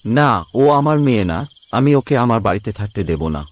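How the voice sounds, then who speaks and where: A man's voice: mid-range voice, lively intonation, moderate pace, darkish tone, dry recording, natural voice. A man voicing a harsh father in a cartoon who scornfully rejects his daughter.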